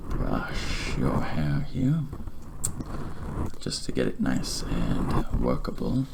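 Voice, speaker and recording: A man plays a courteous barber in an ASMR roleplay. His deep voice is hushed and full of gravelly creak, often sinking into a whisper. He takes his time, speaking slowly and gently. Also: huge pitch swings, heavy background noise. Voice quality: breathy, hushed, half-whispered delivery. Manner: gentle, courteous, unhurried reassurance. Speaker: ASMR creator playing a night-shift barber.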